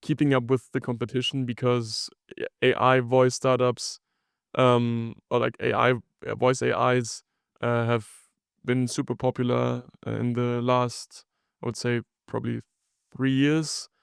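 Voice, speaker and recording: A man hosting an interview podcast, putting questions together piece by piece in a halting, conversational way. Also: mid-range voice, flat delivery, creaky, clean tone, no echo, studio quality. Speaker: podcast host.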